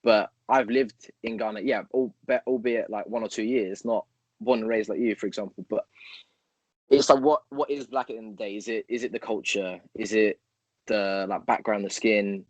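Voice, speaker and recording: A man co-hosts a casual podcast chat. His voice sits a little low, and it is recorded dry and close with no room sound. He rambles as he thinks aloud, piling up fillers and hypothetical examples. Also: fairly even intonation, normal speed, no echo, muffled recording. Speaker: podcast co-host. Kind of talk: casual conversational interview.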